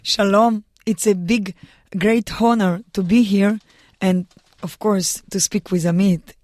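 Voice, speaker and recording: A woman with a Russian accent answers interview questions in earnest, halting English full of restarts. Her voice sits at a middle pitch. The studio sound is close and dry. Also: fairly even intonation, a little mellow, no room sound. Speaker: guest, mountaineer and lawyer. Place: radio studio.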